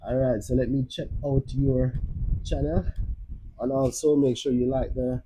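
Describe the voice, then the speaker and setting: A man's voice: mid-range voice, flat delivery, a little slow, dark tone, slight background noise, rough recording. A man with a Jamaican accent giving casual, rambling advice on a live stream, often restarting and filling with "uh" and "you know". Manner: rambling, many restarts and fillers. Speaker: livestream host.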